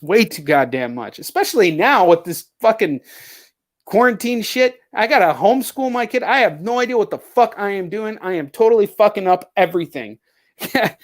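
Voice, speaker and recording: A man with a somewhat high-pitched voice hosts a casual chat show. He talks bluntly and loosely, rambling through his thoughts and swearing freely. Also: expressive, moderate pace. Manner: rambling, heavily profane, blunt. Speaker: show host. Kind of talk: casual video chat interview.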